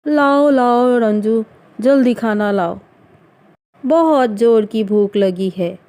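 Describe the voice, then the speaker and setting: A woman's voice: fairly high pitch, slow, dark tone. A woman voicing a sarcastic, bossy older mother-in-law in a cartoon family drama, taunting and commanding.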